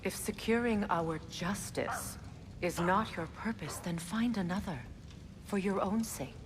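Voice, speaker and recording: An actress playing a wise, resolute mature goddess in a video game, speaking in a calm, earnest, measured way. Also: female voice, mid-range voice, lightly acted. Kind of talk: video game drama acting. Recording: clear background noise and ambience.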